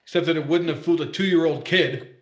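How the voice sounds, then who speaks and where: A man's voice: a little high, fairly quick, background noise, close to an everyday voice. A man playing a smug, sneering gunman. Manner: smug, sarcastic, tough-guy drawl. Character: cool, sneering gunman holding a hostage. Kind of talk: 1950s radio drama acting. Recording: roomy echo.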